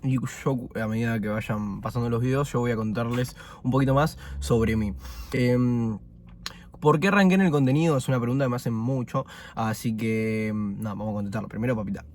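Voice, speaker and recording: A man's voice: mid-range voice, brisk. Twenties man with a Buenos Aires accent, easygoing vlogger chatting between bites, loose and rambling. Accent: Argentine Spanish, Buenos Aires. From Argentina. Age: 20s. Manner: relaxed and chatty, many fillers, talking with his mouth full at times. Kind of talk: casual first-person vlog while eating. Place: indoors, eating fast food.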